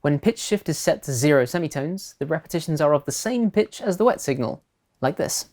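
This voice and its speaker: A woman presenting a software tutorial in scripted narration. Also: low-pitched, animated, fairly quick.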